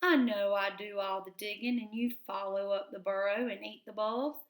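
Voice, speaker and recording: A woman's voice: medium pitch, steady tone, normal speed, echoey room, natural voice. A woman voicing a hearty, teasing blind male mole.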